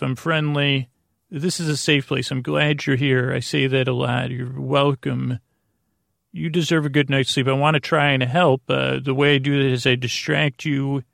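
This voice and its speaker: Meandering man with a gravelly, creaky voice. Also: mid-range voice, fairly bright tone, no echo, studio quality. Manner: meandering, halting, self-interrupting with tangents. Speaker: podcast host. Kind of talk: rambling bedtime monologue to help listeners sleep.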